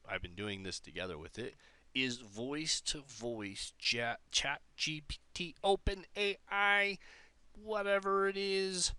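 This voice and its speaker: A man presents an informal tech demo, thinking aloud as he goes. His voice is crisp and bright at a middling pitch. The sound is very dry, with no room around it. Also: dry recording. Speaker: presenter demonstrating an AI prototype. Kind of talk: casual tech demo talk.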